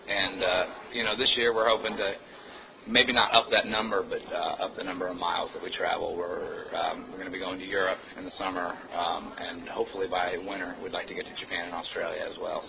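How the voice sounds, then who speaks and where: Low-voiced man rambling casually in a noisy club. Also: fairly quick, vocal fry. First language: Indonesian. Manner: relaxed, rambling, many fillers and "man". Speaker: musician, interview guest. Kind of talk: casual interview chat. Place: music club.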